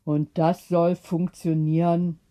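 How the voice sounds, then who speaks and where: A woman's voice: lowish voice, level tone, slow, mellow. A woman voicing a put-upon, grumbling and sceptical family father in an audiobook story. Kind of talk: acted audiobook dialogue.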